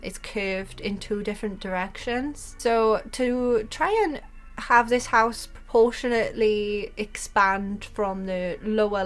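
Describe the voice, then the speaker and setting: A young Welsh woman with a Welsh accent, chatting excitedly about a game update and then calmly explaining her building steps. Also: mid-range voice. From Wales. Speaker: game content creator. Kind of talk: casual gameplay commentary.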